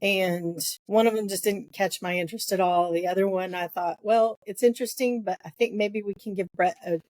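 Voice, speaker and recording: Sniffly female co-host for a casual book-chat podcast, middle pitch, dry close-miked, relaxed and hesitant. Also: brisk, dry recording. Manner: easygoing, laughs lightly, hesitant with ums.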